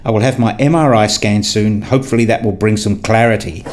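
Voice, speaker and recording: A man's voice: on the low side, fairly even intonation, brisk, close to an everyday voice. A man playing a frustrated, pleading father who tells his own life in a radio play, wordy and earnest. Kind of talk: first-person radio play narration and dialogue.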